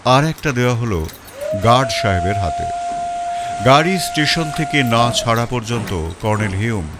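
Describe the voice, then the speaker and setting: A male storyteller reads a first-person detective story, with an animated pitch that swings through every sentence. He sits at a middle register with a dramatic, expressive delivery. Faint background music plays behind him. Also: moderate pace, very noisy. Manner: expressive, rising and falling.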